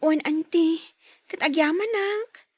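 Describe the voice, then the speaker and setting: A woman's voice: extremely high pitch, takes it slowly, a little mellow, dry recording. A woman playing a dutiful, affectionate young schoolgirl daughter in a radio drama. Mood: respectful. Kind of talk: Ilocano radio drama acting.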